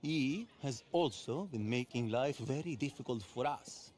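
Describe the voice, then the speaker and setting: An actor voicing a refined, menacing crime boss with an Italian accent, speaking formally and imperiously in a game cutscene. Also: male voice, medium pitch, measured pace, noisy background, natural voice. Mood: smooth, calculating. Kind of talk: video game cutscene acting.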